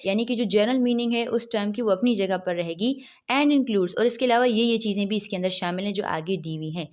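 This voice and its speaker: A woman giving a law lecture, asking herself questions and then answering them, and reading English legal text out slowly. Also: a little high. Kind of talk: recorded lecture.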